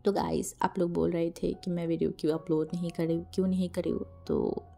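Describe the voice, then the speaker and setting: An Indian woman narrates in a casual, chatty way, like a channel host talking to followers. Her voice is mellow and gravelly, hoarse from a cold. She repeats words for emphasis, with music playing underneath. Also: lowish voice, little pitch movement, quick pace. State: sore throat from a cold. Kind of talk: casual spoken fan fiction narration.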